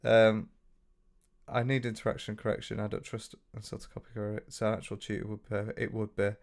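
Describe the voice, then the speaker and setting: Young northern English male streamer, lowish voice, loose rambling chat with restarts. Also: level tone, a little fast, gravelly. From north of England. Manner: rambling, frequent restarts, swears casually. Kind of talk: casual livestream chat reading comments.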